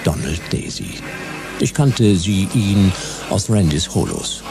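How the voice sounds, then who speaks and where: A laconic private eye narrates his radio crime story. The voice is very low with a gravelly fry. He stays wry and deadpan in noir fashion, with music clearly underneath. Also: takes it slowly, exaggerated voice. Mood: wry, puzzled. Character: laconic, wry hard-boiled private detective. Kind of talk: first-person noir narration in radio drama.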